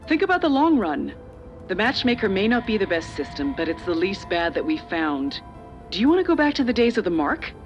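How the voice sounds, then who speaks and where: A measured middle-aged official played by a woman, persuasive and faintly patronizing, speaks as a calculating authority in a game drama. Music plays behind the voice. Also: mid-range voice, dull audio, lightly acted. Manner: measured, persuasive, slightly condescending. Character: older female corporate official and parent, calculating, persuasive, patronizing. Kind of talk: video game dramatic voice acting.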